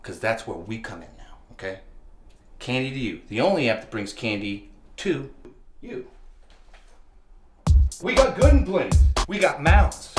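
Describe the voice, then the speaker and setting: An actor playing a scheming, deluded slacker who pitches startup ideas in a rambling, bro-ish way in a comedic audio drama. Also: male voice, big pitch swings, moderate pace. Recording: echoey room with music behind.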